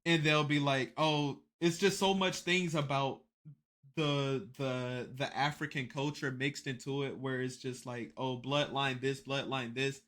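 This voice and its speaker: A young man with a bright, forceful voice talks through his reaction to a video. He rambles, trips over and repeats his words, and drops casual swears. It sounds like a laid-back stream commentary. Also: mid-range voice, steady tone, unhurried.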